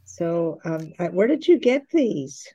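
A woman from the Pittsburgh area chatting casually on a group call, halting and a little tentative. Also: fairly low pitch, very animated, mellow, no room sound, muffled recording. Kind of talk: casual chat.

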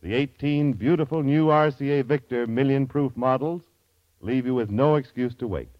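A man's voice: medium pitch, moderate pace, no echo. An American man announcing on old-time radio in a polished, emphatic, scripted sales delivery. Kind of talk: 1940s-50s scripted radio announcing and advertising. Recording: dull vintage radio broadcast.

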